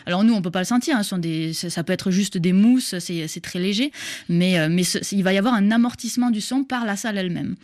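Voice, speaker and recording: A woman's voice: mid-range voice, fast, darkish tone, no room sound, clean studio sound. A woman in her thirties from southern France, with an accent mixing southern French and Québécois, explaining science as an interview guest and often restarting her sentences with fillers. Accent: southern French mixed with Québécois. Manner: hesitant, many restarts and fillers.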